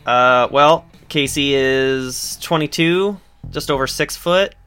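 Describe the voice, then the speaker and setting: A man's voice: medium pitch, slow, fairly bright tone, clear, resonant tone. A man chatting casually as a player in a tabletop role-playing game. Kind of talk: casual chat about his game character. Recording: music under the voice. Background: music.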